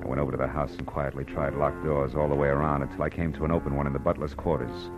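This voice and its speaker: A hard-boiled American private detective in an old radio drama. His voice sits very low, with terse, wisecracking delivery. Music plays clearly behind him. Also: steady tone, fast talker, dull audio. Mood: terse narrating. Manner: clipped, wisecracking, tough. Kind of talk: 1940s radio detective acting and first-person narration.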